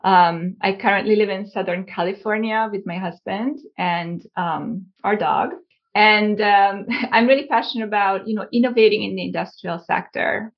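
A woman from Hungary with a creaky voice, answering conversationally as an interview guest. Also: mid-range voice, steady tone, moderate pace, muffled recording. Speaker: guest, company founder.

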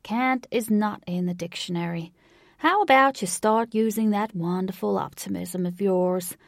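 A woman voicing a large, folksy, jovial elderly man with a slow Southern American cowboy drawl in an audiobook story. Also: medium pitch, vocal fry, no room sound, clean studio sound. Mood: jovial, encouraging.

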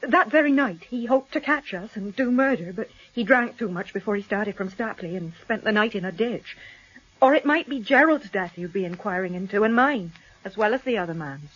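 A woman playing a humble, distressed farmer's wife in a radio drama, earnest and halting. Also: medium pitch, animated, slightly rough. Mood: resolute. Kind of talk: BBC radio drama acting. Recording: dull archive radio recording.

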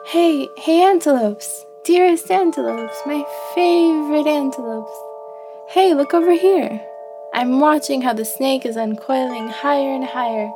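A woman's voice: high-pitched, fairly even intonation, normal speed, few pauses, voice pushed up, natural voice. A woman voicing a brave, stubborn, curious young antelope in a children's story, eager and full of exclamations. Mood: thrilled. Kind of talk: children's storybook character acting. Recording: loud music behind the voice.

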